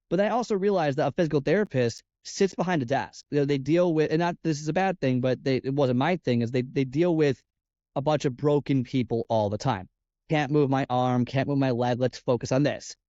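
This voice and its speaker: A man's voice: mid-range voice, darkish tone, no echo. A man with a nasal voice, a fitness coach guest on a podcast, talking casually and rambling with lots of "like" and restarts. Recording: dull, lo-fi podcast audio.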